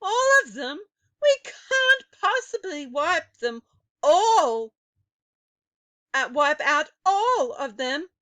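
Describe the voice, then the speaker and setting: A woman's voice: sits high, sing-song, extremely slow, bright, forceful tone, pitched above the performer's own voice, exaggerated voice. A woman voicing a timid, wretched witch in a storybook reading, crying out and pleading with her leader. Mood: dismayed. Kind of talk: dramatic storybook character reading.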